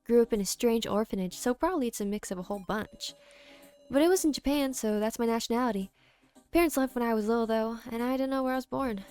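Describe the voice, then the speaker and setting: A woman's voice: fairly high pitch, quick pace, darkish tone, clear, resonant tone, faint music behind, natural voice. A woman voicing a big, proud, friendly male baseball player in a video game, with folksy, hearty talk.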